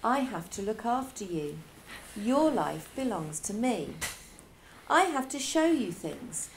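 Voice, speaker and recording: A woman voicing a calm, eerily devoted schoolboy in a storybook, speaking in a soft, steady, insistent way. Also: on the high side, takes it slowly, a little hiss or hum, exaggerated voice, rough recording. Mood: earnest.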